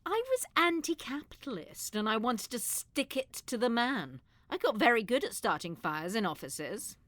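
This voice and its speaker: A woman's voice: a little high, sing-song, moderate pace, fairly bright tone, no room sound. A woman playing a feisty, wry old woman with a rebellious streak in an audio drama. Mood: gleeful, nostalgic. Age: older.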